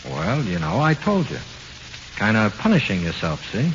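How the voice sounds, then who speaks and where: A man's voice: lowish voice, wildly swooping pitch, normal speed. An actor playing a dead man's ghost, a cold, sly American tough guy, slangy and coaxing, in a 1940s radio horror play. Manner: slangy, matter-of-fact, coaxing. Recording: muffled, hissy 1940s radio recording.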